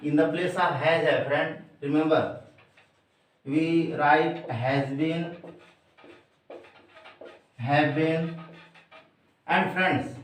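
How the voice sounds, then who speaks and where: A man with an Indian accent, a native Hindi speaker, teaching grammar in a deliberate, emphatic way and addressing his listeners directly. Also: on the high side, fairly even intonation. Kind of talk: instructional grammar lesson to camera. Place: echoey room indoors. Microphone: distant microphone. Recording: very echoey room, distant mic.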